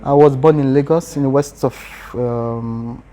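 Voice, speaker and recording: Mellow-voiced Nigerian man. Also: mid-range voice, steady tone, unhurried. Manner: earnest, explains in short restarting phrases. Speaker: interviewee. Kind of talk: casual interview answers.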